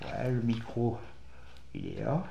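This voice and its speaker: A man's voice: mid-range voice, takes it slowly, creaky, mellow, dull audio, slight background noise. An older man chatting casually as a sidekick, clowning in short, jokey remarks. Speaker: host's father, helping as sidekick. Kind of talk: casual chat. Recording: echoey room with music behind.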